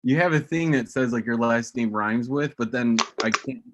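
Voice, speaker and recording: A woman's voice: extremely low pitch, moderate pace, noisy background. A woman hosting an online comedy open mic, joking loosely and stumbling over names, heard on a video call. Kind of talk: casual emceeing.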